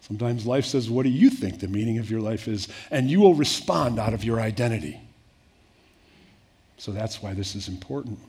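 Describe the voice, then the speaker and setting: An older man preaching a sermon in an earnest way, rising to emphatic peaks and then dropping soft. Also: lowish voice, normal speed, breathy edge. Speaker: pastor.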